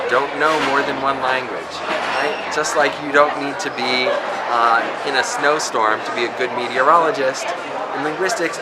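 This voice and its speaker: A man's voice: medium pitch, very noisy. A man giving a conversational introduction to linguistics, often checking in with the listener by asking "right?". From not from Barbados. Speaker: linguistics lecturer. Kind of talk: explanatory talk to camera. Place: public space in Barbados.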